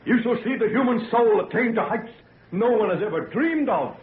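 An actor in an old-time radio drama playing an obsessed young scientist, shaken yet proud, halting and repeating his phrases theatrically. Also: very high-pitched, animated. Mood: exultant, grandiose. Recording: tinny, noisy vintage radio recording.

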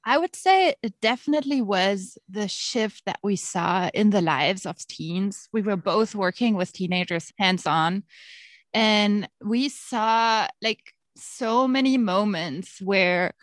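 A woman's voice: mid-range voice, slow pace, dry recording. A woman with a German accent, a former teacher, speaking as an earnest, open guest on a podcast. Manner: earnest and open, laughs easily. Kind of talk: interview conversation.

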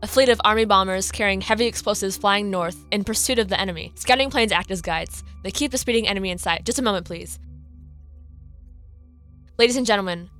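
A woman playing a brisk, formal news announcer reading urgent bulletins in a radio drama. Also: mid-range voice, a bit bright, lightly acted.

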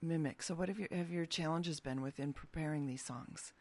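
A woman hosting a radio interview in a studio, chatty and quick to laugh, often restarting her sentences. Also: deep voice. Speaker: radio host. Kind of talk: casual interview.

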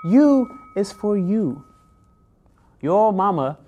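A man, a musician, presenting a playful parody of children's educational television in a deliberate, theatrical manner. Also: high voice, sing-song, dull audio, loud music behind the voice.

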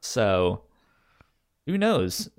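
Guy on a casual podcast, talks slow and halting, trips over words, voice goes up and down a lot. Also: lowish voice, sing-song, clean tone. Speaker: podcast co-host.

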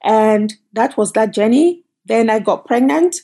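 A middle-aged woman from Cameroon, a company founder telling her story as a guest in an interview, lively and quick in bursts. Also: a little high, vocal fry. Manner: animated, storytelling, quick bursts, laughs often. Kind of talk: conversational interview.

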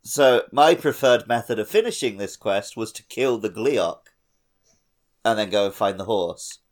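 A man with a fairly low voice, co-hosting a casual podcast. He explains things in a measured, matter-of-fact way. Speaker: podcast co-host.

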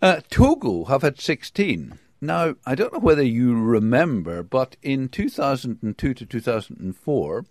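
A man's voice: medium pitch, darkish tone, dry recording, studio quality. A man from the UK giving a conversational football report, enthusiastic, with hesitations and restarts. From United Kingdom.

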